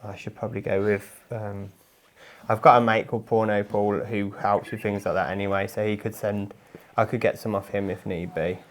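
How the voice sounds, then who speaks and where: A man making a prank call, speaking in a deadpan, rambling and hesitant mock-earnest way. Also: on the low side, moderate pace. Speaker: prank caller. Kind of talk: mock-earnest phone conversation.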